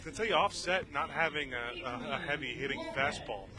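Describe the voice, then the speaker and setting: A man's voice: on the high side, fairly quick. A man giving conversational analysis as color commentator on a baseball broadcast. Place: outdoors at a baseball game. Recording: ballpark crowd noise behind. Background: crowd.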